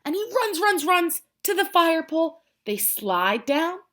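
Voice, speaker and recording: An American woman, a preschool teacher, reading a picture book aloud in simple, expressive language and prompting young children with questions. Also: extremely high pitch, unhurried.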